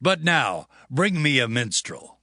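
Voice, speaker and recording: An actor playing a stern prophet, declaiming solemnly in a dramatized scripture production. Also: male voice, mid-range voice, big pitch swings, pushed and bright, no room sound, clean studio sound, exaggerated voice. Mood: stern, rebuking. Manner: solemn, declamatory, drawn-out phrasing. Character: stern male prophet delivering divine commands.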